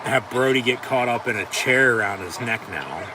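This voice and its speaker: A man giving loose, profane play-by-play of a wrestling show, often trailing off and restarting with fillers. He sits back from the microphone, and clear outdoor noise runs behind him, like traffic in an urban setting. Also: mid-range voice, animated, far from the mic. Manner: rambling, profane, halting with fillers. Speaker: watch-along commentator. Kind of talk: casual play-by-play reaction.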